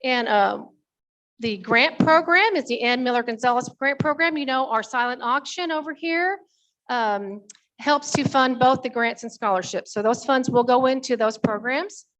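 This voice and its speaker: A woman's voice: sits high, moderate pace, a bit bright. A woman presenting at a members meeting in an informal, conversational way, with folksy asides to the audience. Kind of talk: informal spoken committee report to an audience.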